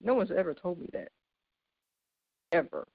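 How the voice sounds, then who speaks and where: A woman in her thirties with a deep, low voice, giving an informal, earnest devotional sermon and laughing lightly between points. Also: expressive, moderate pace, creaky, husky, no echo, phone-quality sound. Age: 30s. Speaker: speaker giving a devotional talk.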